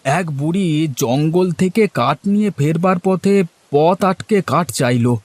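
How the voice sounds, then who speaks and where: A man's voice: on the high side, lively intonation, a little slow, no echo. A man voicing a simple, good-natured village man in a cartoon, naive, hesitant and eager to oblige. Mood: kindly, obliging. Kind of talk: cartoon voice acting.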